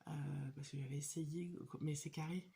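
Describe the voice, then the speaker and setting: Low-voiced French woman with a cold. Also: fairly even intonation, normal speed, dark tone. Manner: hesitant, many fillers and restarts. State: has a cold. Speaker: hobby vlogger. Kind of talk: casual show-and-tell chat.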